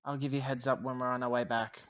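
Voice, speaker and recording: A man's voice: medium pitch, little pitch movement, fast, fairly bright tone, muffled recording, close to an everyday voice. A man playing a blunt, grumbling female company agent in a tabletop sci-fi game, with dry delivery. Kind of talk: in-character tabletop sci-fi roleplay.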